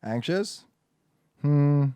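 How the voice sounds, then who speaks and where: A man's voice: fairly high pitch, lively intonation, very slow, warm tone, smooth, dry recording, highs cut off. A man in his twenties hosting a casual podcast chat, rambling loosely and swearing often. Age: 20s. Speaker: podcast host.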